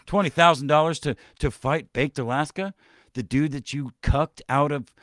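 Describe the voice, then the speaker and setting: A man's voice: medium pitch, vocal fry, dry recording, clean studio sound. A man hosting a podcast, rambling and swearing through casual commentary, with many restarts and trailing-off sentences. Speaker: podcast host.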